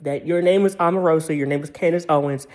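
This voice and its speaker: A man's voice: on the high side, fairly even intonation, fast talker, a little mellow. An American man in his thirties giving a casual, opinionated monologue in a sassy, slangy and emphatic way, often repeating his phrases. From United States. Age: 30s. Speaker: commentator.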